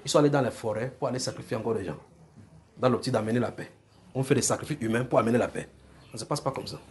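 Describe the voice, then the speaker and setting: A man's voice: medium pitch, very fast. Male preacher, Francophone African accent, mid-range voice, extempore prophecy to a congregation, repetitive, noisy live recording. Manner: repeats phrases, checks listeners follow. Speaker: preacher telling prophetic visions.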